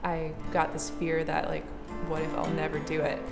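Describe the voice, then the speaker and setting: A woman gives a casual, candid documentary interview. Her voice sits a little low, the room is reverberant, and music plays clearly underneath. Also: monotone, moderate pace. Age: 20s. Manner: candid, conversational. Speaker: singer-songwriter being interviewed.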